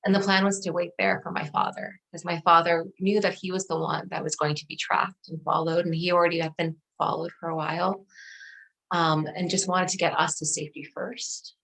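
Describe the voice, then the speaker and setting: A middle-aged woman from Afghanistan and Pakistan, a native Dari speaker, tells her personal story in a measured, reflective way as an interview guest. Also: lowish voice, little pitch movement, creaky, a bit bright, poor audio.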